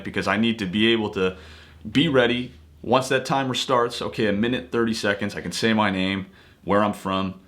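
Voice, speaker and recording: A man's voice: on the low side, a bit bright. A man talking casually and earnestly as an actor sharing motivational tips, repeating key points for emphasis in a conversational way. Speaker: actor giving acting tips. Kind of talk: casual motivational advice talk to viewers. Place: home office.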